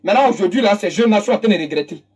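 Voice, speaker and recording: An Ivorian-accented commentator delivers a fiery political monologue with a husky, breathy edge. The talk is emphatic and scornful, circling back to the same phrases to drive each point home. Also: mid-range voice, animated, very fast, a little mellow. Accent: Ivorian French. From Côte d'Ivoire. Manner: emphatic and mocking, repeats phrases for effect.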